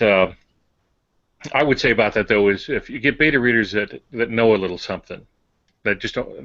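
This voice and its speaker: Older male co-host, lowish voice, hesitant casual talk with dry wit, close dry but slightly dull sound. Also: a bit bright, dry recording. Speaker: podcast co-host and published author. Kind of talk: casual conversation.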